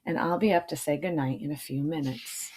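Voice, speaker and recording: A woman's voice: low-pitched, a little fast, darkish tone, breathy edge, low-quality recording. A woman voicing a busy, understanding but firm mother in a children's storybook, matter-of-fact and reassuring. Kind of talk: children's storybook read-aloud.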